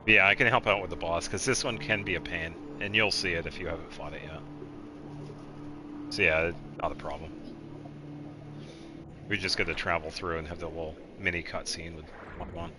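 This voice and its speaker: A man giving casual video game commentary as he guides another player, explaining things in a halting, meandering way. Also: deep voice, steady tone, background noise.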